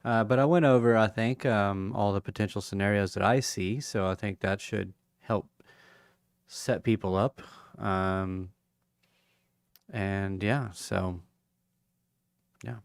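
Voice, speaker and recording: A tired man with a fairly low, gravelly voice, winding down a livestream in a loose, rambling way full of ums. His voice sounds a little hoarse and he yawns through it. The sound is dry and close. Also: fairly even intonation, dry recording. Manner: rambling, halting, many fillers. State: tired, yawning; voice going hoarse. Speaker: crypto livestream host. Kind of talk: casual livestream sign-off chat.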